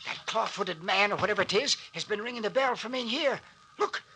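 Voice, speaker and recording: Excitable, high-voiced old caretaker. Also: male voice, a little fast. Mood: excited, urgent. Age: older. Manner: folksy, emphatic, exclamatory speech. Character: excitable old male cemetery caretaker, stubborn and folksy. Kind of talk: old-time radio acting. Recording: old radio recording with faint hiss.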